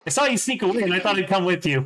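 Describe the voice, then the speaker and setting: A loud, restless young marine who never stops talking, as a man puts him on for the table. He rambles at a rapid clip in a high, eager voice, oblivious to everyone else. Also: animated, slight background noise.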